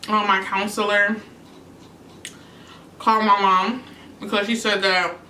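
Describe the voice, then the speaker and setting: Young woman, chatty, bright forceful tone, echoey room. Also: mid-range voice, slight background noise. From New York. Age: young adult. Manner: chatty, answering questions between bites. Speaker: YouTuber. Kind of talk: casual mukbang chat. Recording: echoey room, mic at a distance.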